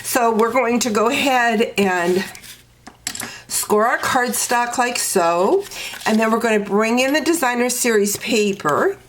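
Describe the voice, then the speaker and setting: A woman with a stuffy, congested voice talks through a card-making project in an unhurried, step-by-step way. Her pace is slow and easy, with faint background noise and small clicks under her voice. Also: fairly high pitch, expressive. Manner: slow, step-by-step instructing with fillers. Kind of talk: crafting tutorial walkthrough.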